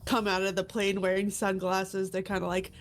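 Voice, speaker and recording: A woman's voice: medium pitch, monotone, moderate pace. A woman player with a stuffy, congested nose describing her character. She rambles loosely, with many filler words and frequent laughter.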